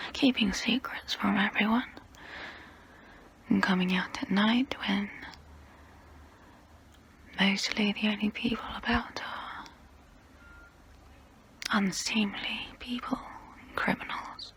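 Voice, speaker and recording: A woman playing a guilty, melancholy centuries-old vampire in an ASMR role play, whispering in a slow, hesitant, wistful way with formal phrasing. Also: mid-range voice, steady tone, a bit bright, heavily acted. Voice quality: breathy whisper.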